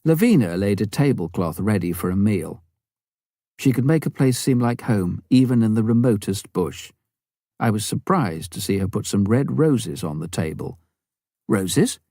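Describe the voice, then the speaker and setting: Low-voiced male memoir narrator, dry sound. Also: animated, normal speed, warm tone, no echo. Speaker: audiobook narrator.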